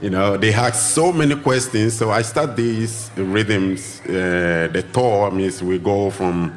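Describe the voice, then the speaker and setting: A man from Ghana who teaches drumming, answering radio interview questions in loose, searching phrases full of restarts. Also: takes it slowly. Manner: loose, searching phrasing with restarts, acts out greetings in high voices. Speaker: drumming teacher and tour guide.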